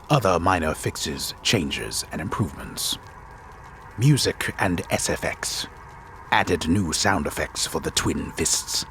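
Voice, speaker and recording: Very deep, theatrical solemn storyteller. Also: male voice, vocal fry, a little hiss or hum, exaggerated voice. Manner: deliberate, grave, deadpan theatrical delivery. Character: solemn disembodied male storyteller, grave and wryly theatrical. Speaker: game narrator. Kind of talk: dramatic reading of a scripted text.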